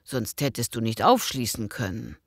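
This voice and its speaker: A woman's voice: very deep voice, lively intonation, moderate pace, no room sound, clean studio sound, full character voice. A woman playing a teasing, dryly witty wife in a scripted language-lesson dialogue. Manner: teasing, mock-exasperated.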